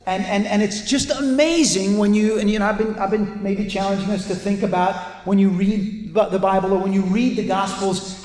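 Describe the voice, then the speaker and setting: A man with a Welsh accent preaching a conversational sermon to a convention audience, often restarting and repeating words. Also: sits high. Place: large hall with a live audience. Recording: echoey hall with long reverb, faint ambience.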